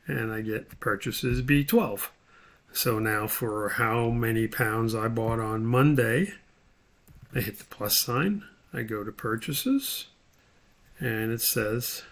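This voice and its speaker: A man's voice: medium pitch, slow pace, long pauses, a bit bright. A man giving an informal screen tutorial as an instructor, thinking aloud step by step as he demonstrates.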